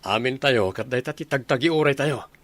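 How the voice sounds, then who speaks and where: A man's voice: mid-range voice, a little fast, a bit bright, lightly acted. A man playing a homesick young soldier in a radio drama, speaking earnestly and conversationally. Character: young soldier longing to go home.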